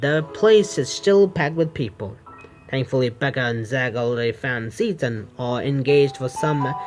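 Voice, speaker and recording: A man hosting a gaming video, reading a visual novel aloud in an informal, stumbling way with self-corrections. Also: fairly high pitch. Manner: stumbling, informal reader who corrects himself. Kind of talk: gameplay read-aloud narration with asides. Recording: music clearly behind the voice. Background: game background music.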